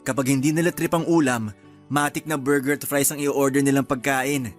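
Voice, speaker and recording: A man's voice: medium pitch, fairly even intonation, moderate pace, faint music behind, natural voice. A man playing an affectionate, easygoing young husband and son in a Filipino radio drama, with a Filipino accent. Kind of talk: Tagalog radio drama acting.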